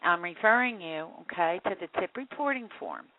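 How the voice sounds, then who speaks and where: A woman's voice: fairly low pitch, lively intonation, normal speed. A woman answering a phone line, giving a caller procedural, noncommittal directions. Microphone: telephone. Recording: tinny phone line with faint noise.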